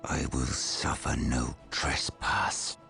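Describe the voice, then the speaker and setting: An actor playing a solemn, stern space marine librarian in a video game, speaking in a measured, formal manner. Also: male voice, extremely low pitch, level tone, gravelly, pushed and bright, exaggerated voice. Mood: stern, commanding. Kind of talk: video game cutscene acting. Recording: music under the voice.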